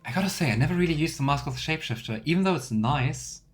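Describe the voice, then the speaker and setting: A man's voice: a little high, expressive, fast. A man hosting a let's play, chatting casually and musing aloud as he goes. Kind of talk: casual game commentary. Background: game music.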